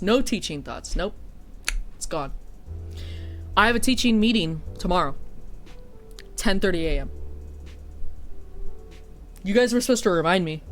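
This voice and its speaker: A woman streamer and teacher chatting casually and a bit stuffy-nosed, swearing and poking fun at herself. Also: lowish voice. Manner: chatty, swears, self-deprecating. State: sniffly, stuffy nose. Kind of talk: casual chat while streaming.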